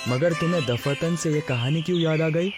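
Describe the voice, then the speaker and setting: Casting: male actor as reckless young dreamer, insistent and dramatic, animated-story dialogue with music behind. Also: fairly high pitch, normal speed, close to an everyday voice. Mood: eager. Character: bold, reckless young male adventurer dreaming of a sea village. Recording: voice over background music.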